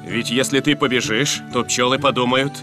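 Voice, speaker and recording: Loud music plays behind a man as a sturdy cartoon fire truck robot. His voice is medium-pitched, firm, earnest and dutiful. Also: steady tone, moderate pace, natural voice. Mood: instructive. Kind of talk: children's cartoon dub.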